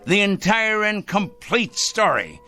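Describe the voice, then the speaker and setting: Bright, fussy, excitable fanatical crank. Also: male voice, high-pitched, slow pace, faint music behind, heavily acted. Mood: fervent, exultant. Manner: excitable and fussy, repeating himself, with gleeful chuckles. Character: fussy, fanatical self-righteous male crank obsessed with evil. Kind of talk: theatrical radio drama acting.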